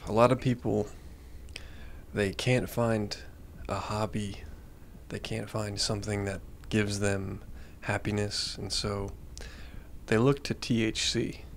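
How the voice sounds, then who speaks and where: A man's voice: on the low side, flat delivery, takes it slowly, a little hiss or hum. A young man giving a laid-back, mock-serious comedy monologue into a handheld mic in a small room. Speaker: comedy vlogger. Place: small room with green-screen backdrop. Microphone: handheld mic held close.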